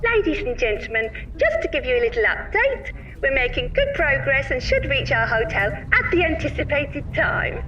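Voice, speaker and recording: Woman as high-pitched officious hostess over muffled PA. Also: fairly bright tone. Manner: sing-song, formal announcing. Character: bright, officious female coach tour hostess. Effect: PA system. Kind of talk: scripted audio drama announcement. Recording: muffled, noisy, distant PA announcement.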